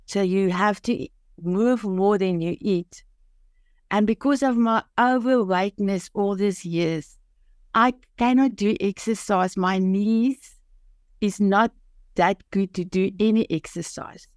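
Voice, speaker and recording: A woman's voice: medium pitch, slow pace, dry recording. An older woman from South Africa, a native Afrikaans speaker with a South African accent, telling her personal story as a podcast guest in an earnest, heartfelt way with many restarts. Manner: earnest and heartfelt, with frequent restarts and simple phrasing.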